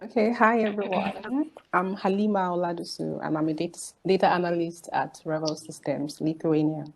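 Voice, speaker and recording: A woman from Nigeria, a data analyst, calmly introducing herself on a video call. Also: lowish voice, normal speed, vocal fry, slight background noise. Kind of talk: self-introduction on a panel.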